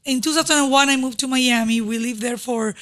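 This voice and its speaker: Venezuelan woman guest, halting English. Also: high voice. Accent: Venezuelan Spanish. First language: Spanish. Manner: warm, halting, with hesitations and non-native grammar. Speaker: guest, real estate agent. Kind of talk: casual interview. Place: podcast studio.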